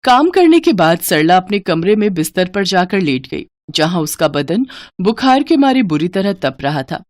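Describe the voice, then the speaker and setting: Lively woman storyteller, dry recording. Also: fairly low pitch, moderate pace, dry recording. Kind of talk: scripted children's story narration.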